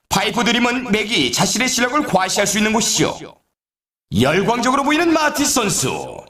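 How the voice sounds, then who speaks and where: A man playing an excitable video game snowboard race announcer, with an emphatic, showy broadcast delivery. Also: sits high, echoey room. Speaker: sports announcer. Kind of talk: scripted sports commentary for a snowboard video game.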